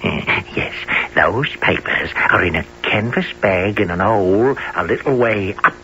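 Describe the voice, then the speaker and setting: Wily, rambling old condemned crook. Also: male voice, lowish voice, lively intonation, a little slow, bright, forceful tone, highs cut off, a little hiss or hum, heavily acted. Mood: wheedling. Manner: muttering, theatrical, erratic outbursts. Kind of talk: radio play acting.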